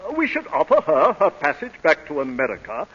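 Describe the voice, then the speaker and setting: A man's voice: a little high, huge pitch swings, a little fast, breathy edge, exaggerated voice. A man acting a pompous, flustered older suitor in an old radio comedy, stammering and hesitant. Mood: self-righteous. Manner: hesitant, stammering, self-righteous. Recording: muffled vintage radio broadcast.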